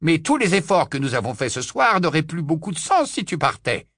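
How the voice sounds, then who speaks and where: A man voicing a calm, reassuring middle-aged father in an audiobook, who talks in a reasoning tone. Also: a little high, a little fast, dry recording, studio quality, voice pushed up, heavily acted.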